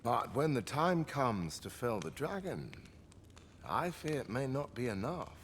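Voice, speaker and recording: Haughty male scholar-inventor for a fantasy game: very animated, unhurried, archaic diction, swinging from mutter to exclamation. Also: mid-range voice, a little hiss or hum. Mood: fretful, dissatisfied. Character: obsessive, haughty, excitable male scholar-inventor of magical devices. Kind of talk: video game cutscene acting.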